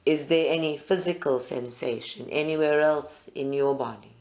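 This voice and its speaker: A meditation guide leads a live group session in short, deliberate phrases with pauses between them. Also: medium pitch, a little mellow.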